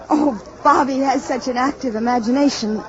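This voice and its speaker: An actress playing a sensible, caring mother with a polite, firm manner in an old-time radio drama. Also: sits high. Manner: polite, reassuring yet firm. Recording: muffled, noisy vintage radio broadcast.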